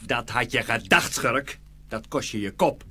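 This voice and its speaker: A man acting a blunt, folksy soldier, medium pitch. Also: lively intonation, measured pace, pushed and bright, slightly rough, heavily acted. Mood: scolding. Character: plain-spoken, shrewd, bossy runaway soldier. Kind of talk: dramatised fairy tale acting.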